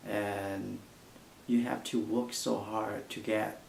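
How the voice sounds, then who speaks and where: Young man, Vietnamese accent, low gravelly voice, halting interview answers in a roomy echo. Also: flat delivery, a little mellow, a little hiss or hum. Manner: halting non-native English with frequent fillers. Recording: echoey room.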